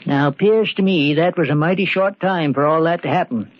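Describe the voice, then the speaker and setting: Actor as a high-voiced, stubborn rustic handyman. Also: normal speed, no room sound, natural voice. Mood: puzzled, dogged. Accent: rural American. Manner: folksy drawl, hesitant but dogged. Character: stubborn, doubting, slow-reasoning rustic handyman. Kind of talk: old-time American radio drama acting. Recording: dull, band-limited old radio recording.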